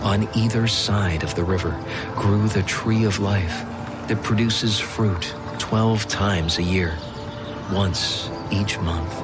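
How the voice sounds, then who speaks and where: Deep-voiced man narrating scripture. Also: over loud music. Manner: solemn, measured. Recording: studio voice under loud orchestral score.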